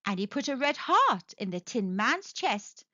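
A high, bright woman's voice narrates a children's read-aloud story. She is very animated in her storytelling. The recording is dry and a little dull. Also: unhurried, no echo.

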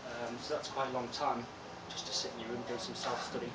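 A soft-spoken young man giving an informal lecture, relaxed and chatty with frequent ums. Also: mid-range voice, fairly quick. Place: lecture room with projector, indoors. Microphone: far room camera. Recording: echoey room from far off with loud hiss.

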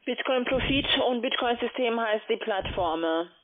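A woman's voice: on the high side, lively intonation, measured pace. Woman call centre agent, tinny phone line. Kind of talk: telephone sales pitch.

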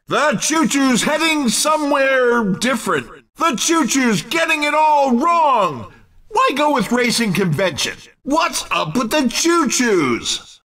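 A man voicing an excitable, cheeky race commentator in a video game, exclamatory and teasing. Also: very high-pitched, far from the mic, full character voice.

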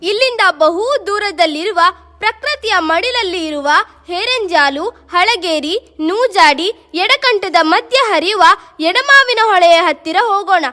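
A woman voicing a caring mother bird who leads her young and speaks gently. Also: very high-pitched, expressive, unhurried, in a large hall, heavily acted. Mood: calm. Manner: gentle, storytelling. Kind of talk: amateur radio play.

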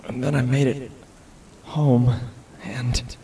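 A man playing a wounded, dazed mage in audio fiction, halting and groggy, with a strained, creaky voice. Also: mid-range voice, flat delivery, dark tone, slight background noise, natural voice, low-quality recording. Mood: pained, dazed. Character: wounded, dazed male mage lost in the rain. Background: rain and thunder.

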